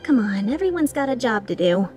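A woman's voice: fairly high pitch, big pitch swings, fairly quick, warm tone, soft background music. A woman voicing a cocky female mercenary leader in a video game fan dub, playful, sarcastic and taunting with an edge of menace. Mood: dismissive, amused.

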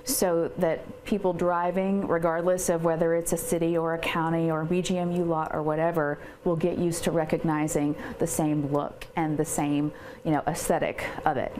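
A woman's voice: deep voice, little pitch movement, moderate pace, a little mellow. A woman, talking casually with fillers in an outdoor news interview. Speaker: downtown development coordinator. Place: outdoors by a building. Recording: outdoor interview with noticeable background noise.

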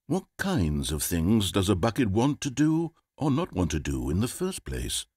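An actor playing a dry, sardonic male British storybook narrator in a video game, with a slightly husky voice. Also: medium pitch, no room sound, exaggerated voice. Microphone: close studio mic. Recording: dry studio recording.

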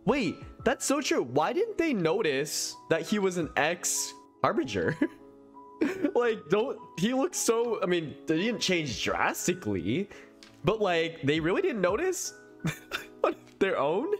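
A man's voice: sits high, slow pace. A man in his thirties giving casual, exclamatory live reactions as a streamer, on a close desk mic. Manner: excitable, exclamatory. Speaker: streamer reacting to a game trailer. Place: small room at home, indoors. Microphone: close desk microphone. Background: faint game trailer music.

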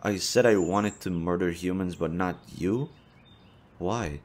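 A man's voice: sits low, unhurried, a little mellow. A man playing an easygoing young half-demon adventurer, talking casually to a best friend in an audio roleplay. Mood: flustered, embarrassed. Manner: casual, teasing, chatty. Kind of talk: intimate audio roleplay acting.

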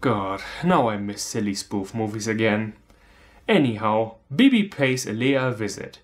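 Animated man with a German accent. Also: on the low side, unhurried. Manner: sarcastic, theatrical. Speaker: film reviewer. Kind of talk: scripted comedic review narration. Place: small room indoors, on a couch.

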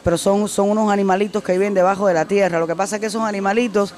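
A middle-aged woman from Cuba, a singer answering interview questions in a casual way, animated and repeating phrases for emphasis, into a handheld mic in a restaurant. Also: mid-range voice, rapid-fire. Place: decorated restaurant, indoors. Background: faint music.

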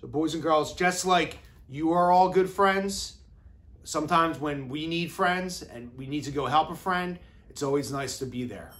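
A man in his thirties reading a children's storybook aloud with deliberate, expressive phrasing, as an educator does for young children. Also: fairly high pitch. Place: classroom, indoors.

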